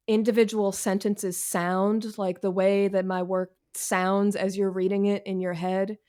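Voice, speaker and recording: A woman's voice: mid-range voice, a little mellow, clean tone. A woman chatting casually as an interview guest, candid and wryly self-deprecating. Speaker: guest author and essayist.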